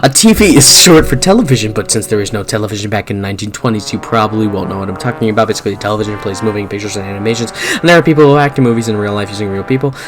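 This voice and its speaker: A man's voice: lowish voice, racing, hardly any pauses, over music, lightly acted. A man voicing a timid, easily startled young hero in a story, earnest and flustered.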